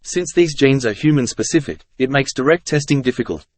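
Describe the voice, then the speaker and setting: A man's voice: mid-range voice, expressive, brisk, no echo. A man reading a scripted passage aloud for exam practice.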